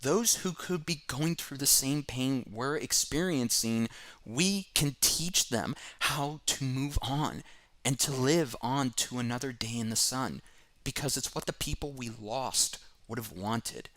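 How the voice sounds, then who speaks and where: A man hosting a comic review, reading scripted narration in an earnest, expressive way on a close voice-over mic. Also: mid-range voice, unhurried.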